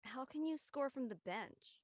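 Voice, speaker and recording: Uptight high school girl voiced by a woman, blunt, earnest, a bit high pitched. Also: expressive, measured pace, no echo. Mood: curt. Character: uptight, driven teenage girl, blunt sister.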